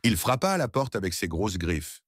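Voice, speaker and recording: A man narrating a scripted children's story with expressive, playful storybook delivery. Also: on the low side, normal speed, no room sound.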